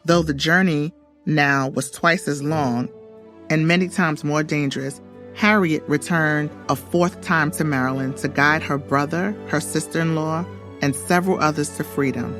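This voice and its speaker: A woman's voice: low voice, steady tone, normal speed, fairly bright tone. A woman reading a scripted story for children as its narrator. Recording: music under the voice. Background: background music.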